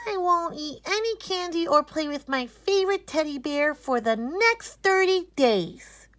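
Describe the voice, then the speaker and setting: A woman voicing an eager, excitable young girl with an earnest, childlike manner in a storybook reading. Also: sits high, measured pace, no echo, voice pushed up.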